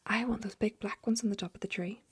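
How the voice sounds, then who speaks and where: A woman's voice: medium pitch, normal speed, pitched above the performer's own voice. A woman whispering as a blustering old duke. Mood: furious. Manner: shouting in a hoarse whisper. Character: blustering rich old male duke, hot-tempered. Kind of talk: whispered storybook reading.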